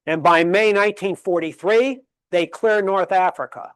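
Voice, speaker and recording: Emphatic high-voiced male history lecturer. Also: moderate pace, clean tone, dry recording, clean studio sound. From Connecticut, USA. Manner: emphatic, rhetorical questions, lists dates and figures. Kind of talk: public library history lecture. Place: library meeting room.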